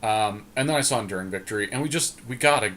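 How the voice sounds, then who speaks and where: A man co-hosting a podcast, casually commentating on a card game draft and reasoning out loud. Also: lowish voice, fast. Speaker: podcast co-host.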